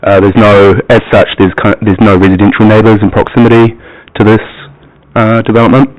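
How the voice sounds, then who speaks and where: A man with a New Zealand accent presenting a planning application to a council committee, pausing often with ums as he explains. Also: on the low side, little pitch movement. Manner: hesitant, many ums, plain explanatory. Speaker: planning officer. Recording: muffled, lo-fi broadcast audio.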